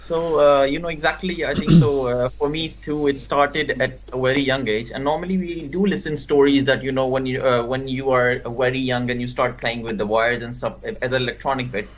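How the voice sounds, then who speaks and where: A man who is a PhD student, speaking haltingly with many fillers on a video-call panel. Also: medium pitch, steady tone, slight background noise, poor audio. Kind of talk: panel self-introduction.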